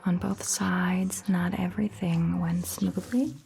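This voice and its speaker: A woman playing a calm, attentive vampire doctor in a soft, breathy ASMR roleplay whisper, with measured, gentle phrasing. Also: on the low side, slight background noise. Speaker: ASMR performer.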